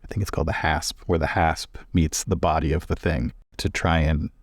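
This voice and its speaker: Deep-voiced man playing a tabletop game. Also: steady tone, normal speed, no echo, studio quality. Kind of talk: casual tabletop roleplay, describing his character's actions. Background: faint music.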